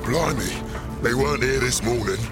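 A man playing a big, dim, boastful orc boss in an audio drama, with a blustering working-class London accent and gravelly voice. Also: medium pitch, normal speed, loud music behind the voice, natural voice. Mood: alarmed, blustering. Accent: English, working-class London. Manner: blustering, rough ungrammatical speech.

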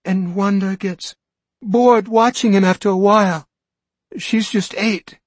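A man voicing a desperate young mother who pleads, high and close to screaming, to be let go home to her children. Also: fairly even intonation, a little slow, no echo. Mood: pleading.

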